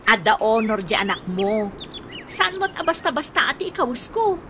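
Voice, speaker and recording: A high, swooping voice over clearly noisy sound: a woman playing a vain Ilocano mother in a radio comedy drama. She is fussy and quick to scold. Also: very animated, a bit bright. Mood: proud, bantering.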